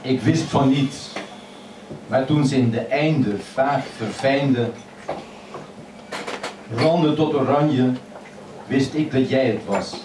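A man reading his poems aloud in a measured, expressive way at a microphone in a crowded old café. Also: mid-range voice, recorded from a distance, noisy background. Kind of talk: poetry reading. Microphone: stand mic, filmed from across the room. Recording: echoey café filmed from afar, loud chatter.

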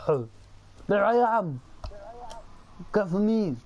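A creaky-voiced player bantering casually during an online game, exclaiming and repeating things in a mock-pompous way. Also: mid-range voice, animated, a little slow, dull audio.

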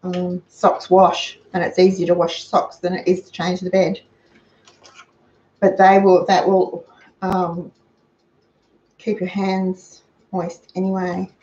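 A woman's voice: fairly low pitch, flat delivery, slow, pauses often, warm tone. Older aussie lady chatting thrifty tips in her kitchen, roomy dull sound from the camera across the counter. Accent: Australian. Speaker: host of a frugal cooking channel. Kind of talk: casual cooking demonstration. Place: home kitchen, indoors. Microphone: fixed camera across the counter.

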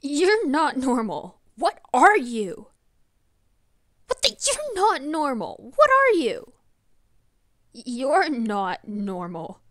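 A woman's voice: high voice, wildly swooping pitch, slow pace, pauses often, a bit bright, dry recording, studio quality, heavily acted. Woman as a jeering, mean little wolf pup. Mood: mocking.

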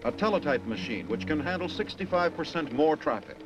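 An American man narrates in the formal style of a 1950s military documentary. His voice sits a little high and he phrases each line with measured emphasis. The sound is a dull old film track, with music clearly playing behind him. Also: sing-song.

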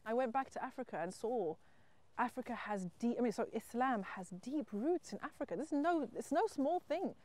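A woman's voice: mid-range voice, a little mellow. A middle-aged woman from Zimbabwe, a writer and entrepreneur, speaking earnestly and reflectively about her life in a personal interview. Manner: earnest, reflective, emphatic at times. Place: wheat field outdoors.

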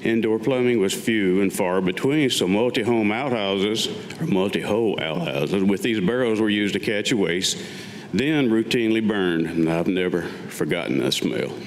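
A man's voice: lowish voice, moderate pace. An older man, around seventy, reading his own written personal story aloud at a staged reading, with wry asides. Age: older, about 70. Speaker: Vietnam veteran reading his own piece. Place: public meeting room with audience, indoors. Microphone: gooseneck lectern microphone. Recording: reverberant hall, faint background noise.

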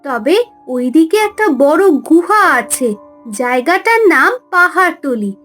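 A woman playing a gentle, caring young mermaid in a cartoon fairy tale, soothing and concerned. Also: sits high, animated, unhurried, faint music behind.

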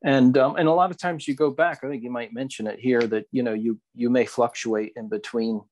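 A man's voice: mid-range voice, fairly even intonation, a little fast, darkish tone. A man co-hosting a podcast, talking casually and reflectively, feeling his way through phrases and often repeating words. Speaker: podcast co-host. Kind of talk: reflective casual discussion of spirituality.

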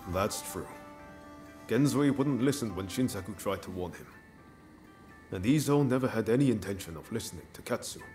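An actor playing an earnest, loyal young samurai in a video game cutscene, speaking reflectively and with resolve. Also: male voice, lowish voice, animated, normal speed, a little mellow, soft background music, natural voice.

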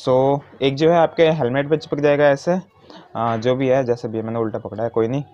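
A man's voice: medium pitch, steady tone, normal speed, darkish tone, a little hiss or hum. A man giving an informal hands-on tutorial step by step, mixing in English words. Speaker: motovlogger giving a how-to demonstration. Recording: dull, muffled lo-fi sound.

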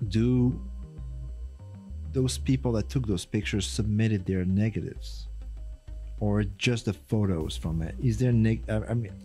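A middle-aged man with a low, dark, mellow voice co-hosts a relaxed studio chat. He reacts quickly and asks follow-up questions with jokey asides. Faint music plays underneath. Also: husky. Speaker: podcast co-host. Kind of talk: casual chat. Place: small studio with red backdrop. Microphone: studio mic on boom arm, headphones.

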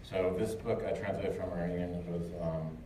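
A man's voice: deep voice, fairly even intonation, moderate pace. A man from the United States answering audience questions after a lecture, loose and chatty, with lots of fillers and restarts. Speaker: lecturer and translator. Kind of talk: casual Q&A after a talk. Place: lecture hall. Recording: echoey hall, distant mic, noisy.

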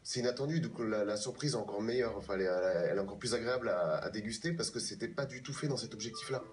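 A man of thirty-five from Paris explaining himself haltingly as a guest in a TV interview. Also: lowish voice. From France, born in Paris. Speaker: guest, pianist and composer. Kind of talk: live TV interview. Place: TV news studio, replayed from a television. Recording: distant, off a TV screen, faint music.

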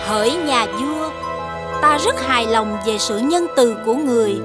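A woman voicing a majestic fairy spirit in an animated fairy tale, speaking in a stately, commanding manner. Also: fairly high pitch, normal speed, close to an everyday voice. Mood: benevolent, stately. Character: majestic fairy spirit, granting gifts and passing judgement. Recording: loud music behind the voice.

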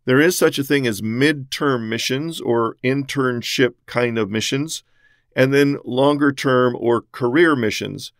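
A man hosting a church missions podcast, delivering a measured, scripted teaching monologue that works through points one by one. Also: medium pitch, no echo, clean studio sound.

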